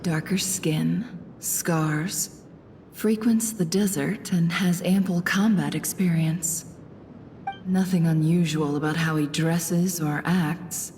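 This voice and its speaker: A woman playing a shrewd, self-assured female investigator in a video game, speaking in measured, polite phrases. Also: fairly low pitch, steady tone, darkish tone, slight background noise. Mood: quiet, musing. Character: composed, shrewd female investigator, posing as a lost trader. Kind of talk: scripted video game voice acting.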